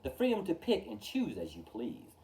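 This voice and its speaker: Male storytime reader: mellow tone, lively swooping intonation, emphatic rhyming verse, recorded a little far from the mic. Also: fairly high pitch, moderate pace, vocal fry. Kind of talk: expressive children's picture-book read-aloud. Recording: distant from the mic.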